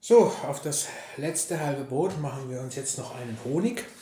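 Casting: man, mid-pitched, casual ASMR chat addressed to the listener, halting mid-sentence, faint noise and clinking dishes. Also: mellow. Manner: unhurried, with pauses mid-sentence. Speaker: host. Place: indoors at a breakfast table. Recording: roomy, echoey sound with faint noise. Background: clinking dishes and clicks.

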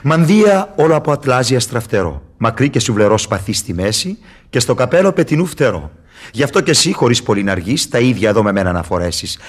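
An actor playing a sly, mocking, falsely humble devil in radio theatre, with husky, ironic, wheedling verse delivery. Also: male voice, medium pitch, very animated. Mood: coaxing, gleeful.

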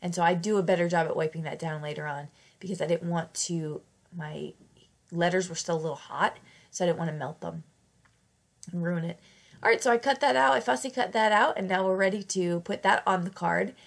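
A woman's voice: fairly low pitch, fairly quick, creaky. A woman fighting off a cold, narrating a casual craft tutorial in a chatty, self-correcting way. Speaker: craft channel host.

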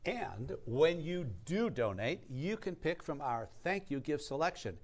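A man's voice: on the high side, very animated, a little slow. A man in his sixties co-hosting a live TV pledge show, presenting upbeat and emphatic like an announcer. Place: TV studio kitchen.

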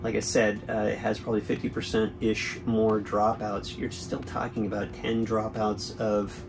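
A man's voice: fairly low pitch, little pitch movement, moderate pace, over music, poor audio. A man in his forties hosting a boating channel, chatting through a product review from a boat cabin with an easygoing, explanatory manner and frequent fillers.

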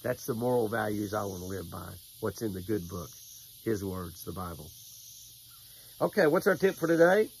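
A man's voice: lowish voice, animated, moderate pace, creaky, slight background noise, poor audio. An older American man outdoors by a lake, giving a folksy devotional and fishing tip, repeating phrases for emphasis. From United States. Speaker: devotional host and fishing angler. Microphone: camera at close range.

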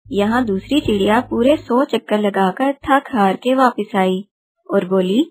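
A woman's voice: medium pitch, measured pace, a little mellow, breathy edge. A woman narrating a scripted children's story.

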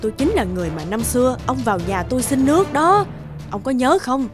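Grateful pushy village housewife in a cartoon, highish voice, music behind. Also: female voice, big pitch swings, brisk. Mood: insistent, eager. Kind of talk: Vietnamese folk-tale cartoon dubbing.

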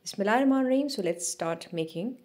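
A woman narrating a cooking video as a voice-over, giving steady step-by-step instructions with small restarts. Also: mid-range voice, fast talker. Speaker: cooking channel host.

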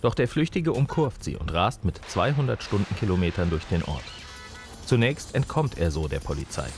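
A man narrating a scripted true-crime TV report in a dramatic, clearly articulated way. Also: sits low, big pitch swings, normal speed. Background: prominent music.

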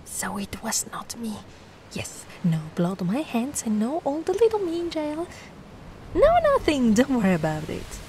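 Woman acting as high-voiced, animated giant mermaid girl. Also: unhurried, slight background noise, poor audio. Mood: caring. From Italy. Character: giant young female mermaid, cute, anxious, kindly. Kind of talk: ASMR roleplay.

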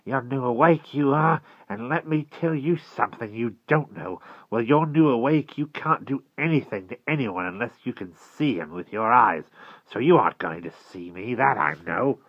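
A man voicing a bitter, frightened old tramp in a storybook, with a hoarse, broken voice and an urgent, plaintive delivery. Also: medium pitch, lively intonation, normal speed, no echo, lo-fi sound, voice pushed up. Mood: suspicious, bitter.

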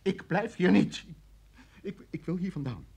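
Panicky suspect in a radio drama, husky highish voice, swings all over, stammers and keeps protesting. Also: male voice, expressive, mellow, full character voice. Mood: indignant. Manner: halting, stammering, insistent. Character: flustered, protesting male suspect of about forty, bewildered. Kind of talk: Dutch radio play acting.

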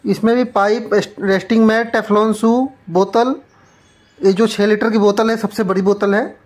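A man's voice: high voice, fairly even intonation, normal speed, a little mellow. A man gives an informal product demonstration, explaining plainly and item by item as a shop presenter. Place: small shop, indoors.

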